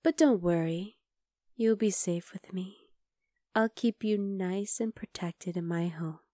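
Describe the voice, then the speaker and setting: Woman as a slow, breathy, possessive vampire girl. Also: lowish voice, warm tone, no echo, clean studio sound. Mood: coaxing, controlling. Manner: slow, breathy, slipping into whispers. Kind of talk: ASMR roleplay acting, addressed to the listener.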